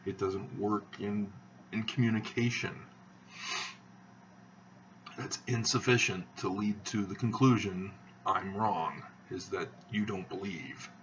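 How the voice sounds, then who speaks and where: A man hosting his own video channel, talking in a slow, halting monotone with many fillers as he rambles. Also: lowish voice, recorded from a distance, lo-fi sound, a little hiss or hum. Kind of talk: rambling monologue to camera.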